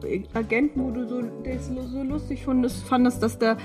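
A woman's voice: sits high, fairly even intonation, fairly quick, over music. A woman co-hosting a podcast in casual, chatty conversation. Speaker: podcast co-host. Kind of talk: casual chat.

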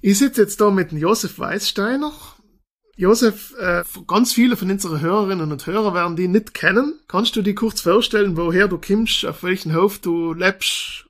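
A man's voice: sits high, fairly bright tone. A man, hosting a podcast interview and asking short, friendly questions. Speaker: podcast host, editor-in-chief.

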